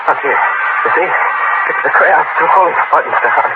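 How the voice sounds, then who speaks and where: An actor as a nervous, stammering cabbie on crackly old radio. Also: male voice, fairly high pitch, moderate pace, close to an everyday voice. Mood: shaken, relieved. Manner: stammering, pleading, placating. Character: frightened city cab driver, husband and father, stalling his captor. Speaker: actor and first-person narrator. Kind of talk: 1940s radio drama acting. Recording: noisy, narrow-band old radio recording.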